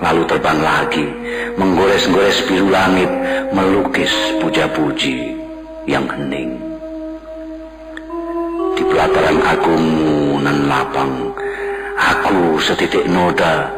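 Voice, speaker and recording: A man giving a solemn, contemplative poetry recital in a slow, devotional manner. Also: a bass voice, fairly even intonation. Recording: roomy echo with music clearly underneath.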